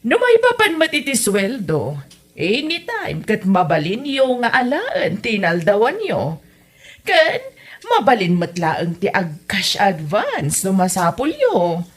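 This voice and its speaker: A woman's voice: mid-range voice, huge pitch swings, slow, slightly rough, full character voice. A woman with an Ilocano Filipino accent acting a generous, welcoming female poultry farm boss in a comedy audio drama. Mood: welcoming, brisk.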